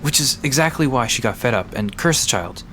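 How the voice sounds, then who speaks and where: A woman's voice: extremely low pitch, expressive, a little fast, fairly bright tone, soft background music. A woman playing an easygoing, knowledgeable park guide in an audio drama, casual and reassuring as they explain things. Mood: explanatory.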